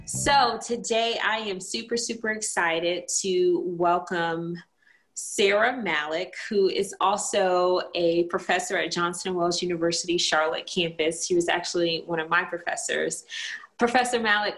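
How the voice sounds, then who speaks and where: A woman's voice: lowish voice, fairly even intonation, measured pace, fairly bright tone, faint music behind. A woman hosting a casual interview, speaking in an enthusiastic, effusive manner. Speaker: podcast host interviewing guest.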